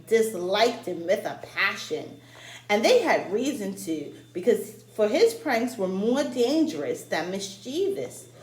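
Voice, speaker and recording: A woman reading a folktale aloud for children in an expressive way, addressing her young listeners directly. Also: mid-range voice. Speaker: story reader, teacher-like host. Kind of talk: read-aloud storytelling for children. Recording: roomy echo, faint background noise.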